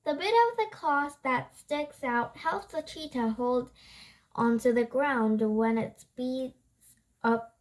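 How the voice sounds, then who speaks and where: High-pitched, slow, halting read-aloud voice. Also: rough recording. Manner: halting word by word, stumbling and correcting misread words. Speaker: reader. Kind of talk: reading a nonfiction book aloud.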